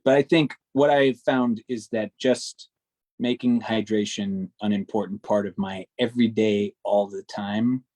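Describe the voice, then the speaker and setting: A man who narrates audiobooks, chatting casually in a relaxed, rambling way and often correcting himself mid-sentence. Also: lowish voice, dry recording. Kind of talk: casual video chat.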